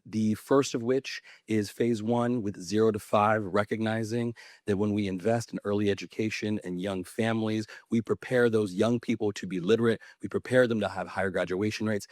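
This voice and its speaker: A man's voice: lowish voice, fairly even intonation, moderate pace, a little mellow, no room sound, clean studio sound. A man in his thirties from California, a mayor speaking on a conference panel, talking with earnest, passionate conviction. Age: 30s. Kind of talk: panel talk to an audience.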